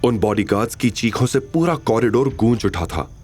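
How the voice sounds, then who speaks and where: A man's voice: medium pitch, animated, normal speed, darkish tone, faint music behind. A man narrating a scripted romantic action story in a dramatic storytelling style.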